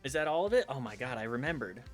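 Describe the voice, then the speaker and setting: A man's voice: medium pitch, big pitch swings, racing. A man talks casually as he plays a game on stream. His delivery swings up and down, wandering between gameplay remarks and self-deprecating asides, with light music underneath. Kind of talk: casual chat while commenting on gameplay.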